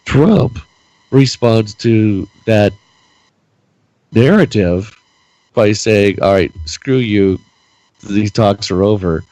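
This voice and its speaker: Man, low voice, hoarse and stuffy, animated rant, podcast co-host, dry close sound. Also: takes it slowly, long pauses, no echo, poor audio. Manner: animated, repeats words while ranting. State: losing his voice, stuffy nose. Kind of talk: casual political chat.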